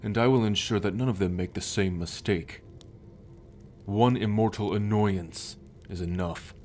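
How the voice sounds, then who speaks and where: Man acting a haughty, slow, deep sun god. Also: a little mellow, close to an everyday voice. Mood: haughty anger. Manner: haughty, scornful, formal, sighing. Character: arrogant male sun god, imperious brooding captor husband. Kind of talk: ASMR roleplay audio drama. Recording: background music under the voice.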